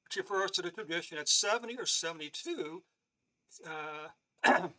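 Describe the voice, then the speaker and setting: A man teaching a live-streamed Bible lesson, talking haltingly with many restarts and clearing his throat, often checking in with "okay?". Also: high-pitched, sharp tone. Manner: reads from on-screen notes and explains them.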